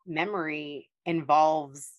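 A woman with a notably low voice hosts a podcast interview, recorded dry and close. She affirms her guest warmly and asks short questions. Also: steady tone, slow pace, no room sound, highs cut off. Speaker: podcast host. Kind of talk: interview chat.

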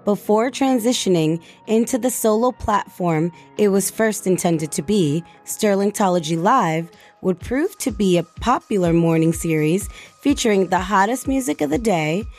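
A woman narrates from a script in a formal, measured way, as in a radio retrospective. Her mid-pitched voice sits over faint music. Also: a little mellow. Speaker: documentary narrator.

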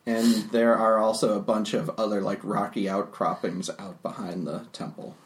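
A man narrating as game master of a tabletop game, relaxed and unhurried with fillers, recorded with a roomy echo. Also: on the low side. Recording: roomy echo, faint noise.

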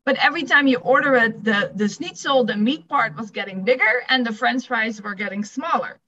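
A woman's voice: fairly high pitch, a little fast, pushed and bright. A woman with a Dutch accent, a guest on a podcast, talking in a friendly, upbeat way about her past. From Netherlands. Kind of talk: casual podcast interview. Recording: dull lo-fi sound.

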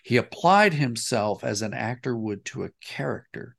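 A man with a medium-pitched, gravelly voice speaks as an interview guest. He is conversational and thoughtful, rephrasing as he explains. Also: animated, normal speed, a bit bright. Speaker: interview guest, audio drama writer and director.

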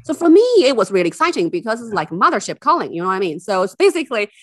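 A woman's voice: mid-range voice, big pitch swings, rapid-fire, dry recording. A woman from China, a university officer, chatting as a podcast guest, enthusiastic and full of fillers and restarts. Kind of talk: casual interview chat.

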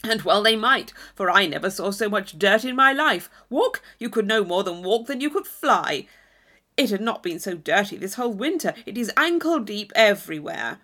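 Woman playing brash young man, storybook character, boastful, pushy, loud, emphatic, animated intonation. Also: medium pitch, normal speed. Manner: forceful, emphatic, exclamatory.

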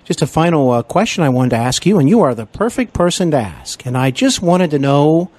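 A man's voice: on the high side, big pitch swings, a little fast, a little mellow, no room sound. An American man hosting a conversational interview in a courteous, effusive and wordy manner. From Cincinnati, Ohio, United States. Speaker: podcast host and interviewer.

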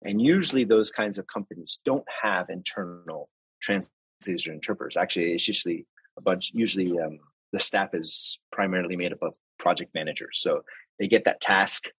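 Guest spot: low, husky-voiced man, patient explainer walking through his job, dry close mic. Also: a bit bright, no echo, low-quality recording. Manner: explains step by step with examples, many restarts. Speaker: guest, translator and interpreter. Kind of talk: casual interview talk.

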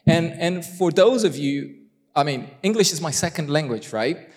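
Man, Brazilian Portuguese accent, sermon, playful asides, fairly high voice, long reverb of a church hall. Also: moderate pace. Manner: animated and self-deprecating, with asides to the congregation. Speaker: guest preacher.

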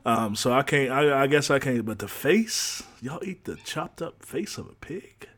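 An easygoing man with a drawl hosts a casual talk show. He jokes, teases and says y'all as he chats. His voice sits at an ordinary middle pitch for a man. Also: lively intonation, measured pace, fairly bright tone. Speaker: host.

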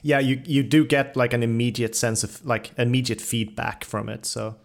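A man's voice: medium pitch, little pitch movement, normal speed, vocal fry. A man hosting a podcast, interviewing in a casual, conversational way with keen interest. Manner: enthusiastic, interested.

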